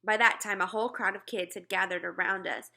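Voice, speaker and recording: A woman narrating a children's novel as an animated read-aloud. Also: medium pitch, brisk, gravelly. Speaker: storybook reader.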